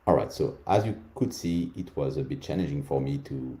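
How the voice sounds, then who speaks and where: A man's voice: very deep voice, animated, normal speed, mellow, echoey room. A man with a French accent, a native French speaker, giving a live conference demo and calling out voice commands between explanations.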